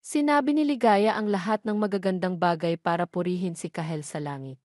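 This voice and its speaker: A woman's voice: lowish voice, brisk, darkish tone, no room sound. Filipino woman narrating a scripted story, dry sound. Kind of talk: scripted audio drama narration.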